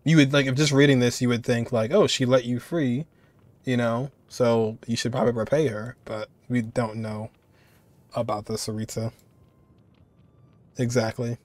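A man giving casual, halting commentary as a streamer, often trailing off as he muses. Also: mid-range voice, little pitch movement, a little mellow, dry recording. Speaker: streamer reacting to game voice lines.

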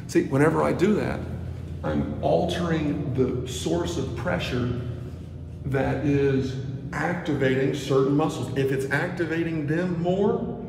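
A man in his thirties teaching a movement practice while filming himself on a phone in a stairwell, talking slowly and dropping to murmurs. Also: fairly high pitch, gravelly. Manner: slow, drawn-out, murmured asides, drops to near whisper. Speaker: movement instructor. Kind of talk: walking demonstration to phone camera. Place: indoor stairwell of a building. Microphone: phone camera held at arm's length. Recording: echoey stairwell with noisy ambience.

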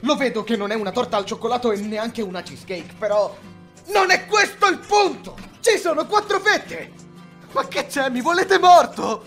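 Guy as overdramatic ranting young anime gangster, really high voice, bit of music behind. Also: fairly even intonation, fast, few pauses, lightly acted. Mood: indignant ranting. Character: excitable, superstitious young gangster, loud and indignant. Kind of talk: Italian anime fan dub.

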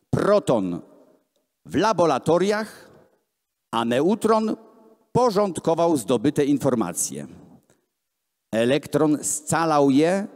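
A man in his thirties reading children's books aloud theatrically and deliberately into a handheld microphone at a live event. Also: fairly high pitch, darkish tone. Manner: theatrical, deliberate storytelling with voiced dialogue. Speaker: reader at a gallery event. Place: large gallery hall with hard floor, indoors. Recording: echoey hall, amplified voice filmed from the room.

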